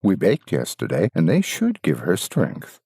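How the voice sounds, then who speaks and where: A man's voice: sits low, huge pitch swings, measured pace, vocal fry, mellow, slightly rough, dry recording, clean studio sound, full character voice. A man voicing an innocent, trusting little girl in a fairytale, earnest and wide-eyed. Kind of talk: fairytale storybook reading.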